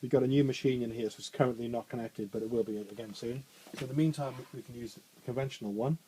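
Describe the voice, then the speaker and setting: A man with a bassy voice walks trainees through a radio studio desk in a chatty, informal way, full of fillers and restarts. His pitch sits at an ordinary middle height. A faint hiss runs behind him. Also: fairly even intonation, fast talker, mellow, rough recording. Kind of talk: hands-on technical demonstration.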